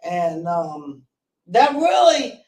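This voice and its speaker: A forty-year-old man from North Carolina with a loud voice, telling his life story as a podcast guest in an earnest, rambling way with restarts. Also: high voice, big pitch swings, extremely slow, distant mic. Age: 40s. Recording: roomy, off-mic sound.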